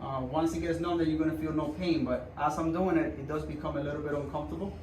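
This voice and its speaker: A man's voice: fairly high pitch, fairly even intonation, fast, a little mellow. A man working as a doctor, casually and reassuringly talking a patient and viewers through a procedure in an informal way. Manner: informal, reassuring, repeats himself while demonstrating. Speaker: doctor performing a hand procedure. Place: indoor clinic room. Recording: roomy, distant mic with background noise.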